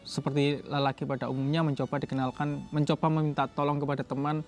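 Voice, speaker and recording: A young adult man from East Java, telling a personal story casually in a halting way, often correcting himself. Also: fairly high pitch, little pitch movement. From Jombang, East Java, Indonesia. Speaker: doctoral student telling his story.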